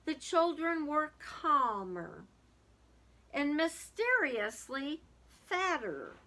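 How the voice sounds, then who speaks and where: A woman's voice: high voice, big pitch swings, drawn-out words, bright, forceful tone. A woman reading a picture book aloud to young children, dramatic in the story and casual in asides that put questions to the listeners.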